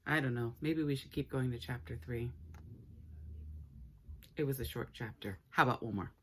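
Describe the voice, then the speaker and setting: Low-voiced woman reading a children's story. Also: slight background noise. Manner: expressive, playful, with dramatic pauses. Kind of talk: read-aloud story time for children.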